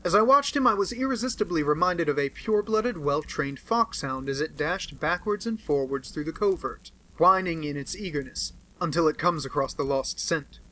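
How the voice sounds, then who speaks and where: A man with an American accent narrating a classic detective novel in a steady, earnest way. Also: a little high, normal speed, few pauses. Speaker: audiobook narrator. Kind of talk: amateur audiobook narration.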